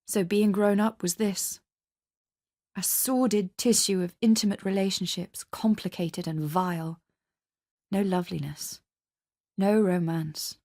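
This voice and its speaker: A woman's voice: mid-range voice, fairly even intonation, measured pace, a little mellow, dry recording. Woman narrating drama, dry and close. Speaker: narrator and reader. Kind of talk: dramatic scripted story narration.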